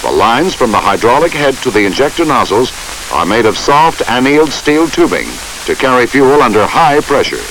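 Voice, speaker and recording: Male narrator for an instructional film: formal and measured, lively intonation, slightly hissy vintage soundtrack. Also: medium pitch, low-quality recording.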